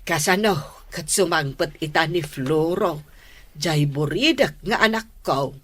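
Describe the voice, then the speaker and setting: A woman's voice: low-pitched, expressive, slow pace, a bit bright, full character voice. An actress playing an affectionate older mother in a Filipino radio drama, speaking with a Filipino accent. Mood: warm, motherly. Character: affectionate older mother, fond of matchmaking and cooking.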